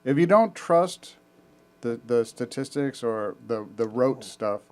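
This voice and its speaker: A man with an average-pitched voice co-hosts a podcast. He tells his stories in a wry, emphatic way. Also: animated, takes it slowly, darkish tone. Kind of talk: casual chat.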